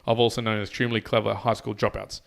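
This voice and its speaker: A man's voice: fairly low pitch, fast, gravelly. An Australian man co-hosting a podcast, reading listener messages aloud and chatting casually. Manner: relaxed, chatty, says "mate" often. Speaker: podcast co-host.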